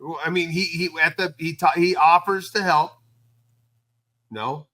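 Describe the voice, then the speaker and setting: Male co-host, bright forward voice, fairly high, casual and stumbling over restarts. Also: fairly even intonation, unhurried. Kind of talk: casual recap chat.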